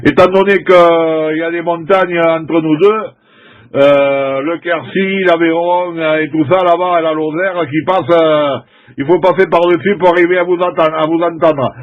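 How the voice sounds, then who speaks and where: High-voiced French man on CB radio. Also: fairly even intonation, moderate pace, highs cut off. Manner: informal, chatty, uses radio jargon. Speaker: amateur CB radio operator. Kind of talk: casual CB radio contact chat.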